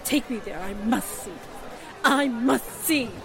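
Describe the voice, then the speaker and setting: Woman acting anguished widow, high voice, very slow delivery, melodramatic radio play, faint crowd behind. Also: vocal fry, noisy background. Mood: distraught, insistent. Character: grief-stricken widow, a former silent film star. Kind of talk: 1940s radio drama acting.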